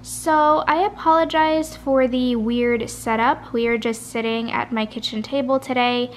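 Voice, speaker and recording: A tired woman vlogging late at night from her kitchen table, talking softly with a slight rasp and rambling in a chatty way. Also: fairly high pitch, steady tone, a little slow, slight background noise. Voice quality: soft, a little raspy. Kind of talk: casual chat. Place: kitchen at home. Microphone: camera at a kitchen table.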